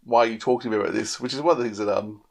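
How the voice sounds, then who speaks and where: A man's voice: medium pitch, fairly even intonation, very fast, vocal fry, a little mellow. A man chatting casually on a podcast, rambling and repeating words as he works through his thoughts. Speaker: podcast panellist. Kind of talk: casual chat.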